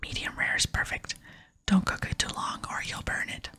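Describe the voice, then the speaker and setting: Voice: moderate pace, pushed and bright, natural voice. A person voicing a proud, grumpy video game cook who scolds in a whisper. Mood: proud, bossy. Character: gruff, proud cook guarding the kitchen, quick to scold.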